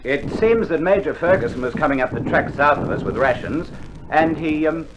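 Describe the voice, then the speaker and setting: An actor playing a laconic, dryly stern Australian sergeant major with gruff understatement, in a 1960s radio drama. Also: male voice, on the high side, lively intonation, normal speed, close to an everyday voice. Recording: muffled 1960s vinyl, faint noise.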